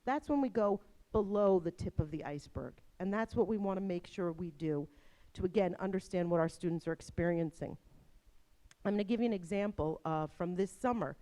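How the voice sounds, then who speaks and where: A middle-aged woman with a New Jersey accent presents to colleagues in a university conference room. Her pitch sits in an ordinary middle range, and she talks in a lively way, checking in with her listeners by asking "right?" again and again. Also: fairly quick. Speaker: workshop moderator.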